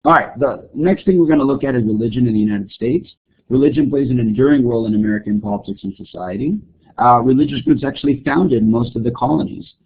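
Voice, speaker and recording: A college instructor from Greece giving a recorded politics lecture, explaining and asking rhetorical questions. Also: male voice, medium pitch, quick pace, husky. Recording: muffled phone-like lecture recording.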